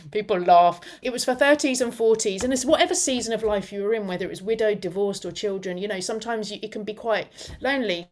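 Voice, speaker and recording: A woman in her forties, a radio interview guest, telling a personal story in an animated, reflective way. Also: medium pitch. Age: 40s.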